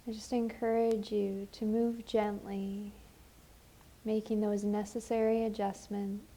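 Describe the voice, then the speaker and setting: A woman leading a guided meditation as a teacher, speaking gently and soothingly, with unhurried phrases and long pauses. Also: mid-range voice, level tone.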